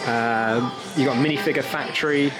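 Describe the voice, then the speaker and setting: A man's voice: mid-range voice, fairly even intonation, a little fast, low-quality recording. A young British man chatting casually to camera as a vlogger, outdoors on a camera held at arm's length. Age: young adult. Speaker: theme park vlogger. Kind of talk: casual vlog chat to camera. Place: outdoors in a theme park. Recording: outdoor vlog with music behind.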